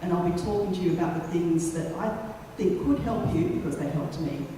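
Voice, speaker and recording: Middle-aged storyteller, mellow tone, echoey hall, distant mic. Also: mid-range voice, animated, a little hiss or hum. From Western Australia and Fiji. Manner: conversational, many ums, pauses, reflective. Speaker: radio talent manager giving a talk. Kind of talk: personal storytelling talk. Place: lecture room.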